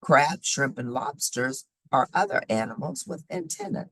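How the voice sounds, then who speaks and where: Female children's nonfiction reader: deliberate, explanatory, lively intonation, husky tone, close dry sound. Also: very deep voice, dry recording. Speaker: host reading children's science books aloud. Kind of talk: read-aloud of a children's nonfiction book.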